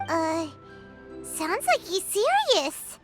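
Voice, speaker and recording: An actress voicing a tiny, childlike, excitable fairy companion in a video game, squeaky and wide-eyed. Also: female voice, drawn-out words, full character voice. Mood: startled. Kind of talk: video game story dialogue. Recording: loud music behind the voice.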